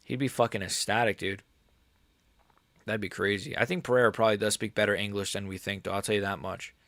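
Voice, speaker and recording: A man's voice: lowish voice, fairly even intonation, fast, a bit bright, no room sound. A man in his early twenties hosting a casual livestream, talking in slangy, sweary bursts and often stumbling and repeating himself. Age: early 20s. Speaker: livestream host answering chat. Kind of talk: casual livestream chat about combat sports.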